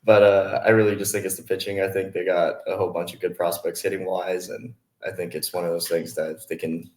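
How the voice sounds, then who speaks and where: Young guy from hawaii, real low voice, laid back interview chat, kinda echoey. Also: little pitch movement, brisk. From Hawaii, USA. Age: 20s. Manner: easygoing, mellow. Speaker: college baseball player, interview guest. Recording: roomy, echoing sound.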